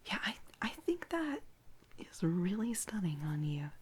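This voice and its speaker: A woman whispering gently and haltingly in a soft-spoken ASMR personal-attention roleplay, playing an attentive makeup artist. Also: mid-range voice, very animated, husky. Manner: gentle, hushed, unhurried, halting with soft pauses.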